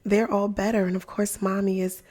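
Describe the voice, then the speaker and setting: A woman in her thirties, hoarse and raspy from losing her voice, hosting a chatty, upbeat live stream close to the mic. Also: mid-range voice, little pitch movement, moderate pace, a little mellow. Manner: chatty, upbeat, repeats herself, many fillers. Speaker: livestream host, pumping educator. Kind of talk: casual live-stream chat. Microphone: close mic, talking softly.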